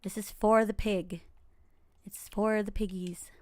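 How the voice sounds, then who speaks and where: A woman in her forties, a game streamer from Los Angeles whose first language is Tagalog, chatting casually through gameplay in a rambling way, with fillers, soft laughs and whispered asides. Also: medium pitch, steady tone, measured pace, no echo. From Los Angeles, United States.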